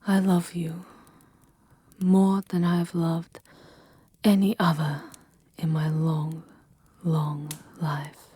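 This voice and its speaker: A woman's voice: deep voice, little pitch movement, mellow, no room sound, full character voice. A woman playing an ancient, possessive vampire lover in an intimate ASMR roleplay, haughty and often whispering. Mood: tender, seductive. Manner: slow, breathy, haughty, often dropping to a whisper.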